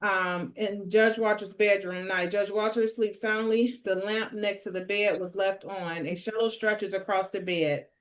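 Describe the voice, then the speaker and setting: A woman with a medium-pitched voice narrates a script. She reads scene headings and action lines as the leader of a table read. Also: steady tone, measured pace, few pauses. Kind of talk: reading screenplay stage directions.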